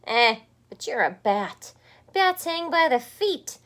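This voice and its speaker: A woman voicing a blunt, know-it-all bat in a storybook, loud and emphatic as it corrects others. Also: high-pitched, big pitch swings, slow pace, voice pushed up, full character voice. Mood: insistent.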